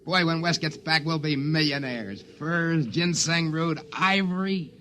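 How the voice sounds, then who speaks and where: A man's voice: a little high, a little slow, a bit bright, a little hiss or hum. An actor playing an optimistic, reassuring stranded man in a 1950s radio drama. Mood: hopeful. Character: hopeful stranded man dreaming of riches.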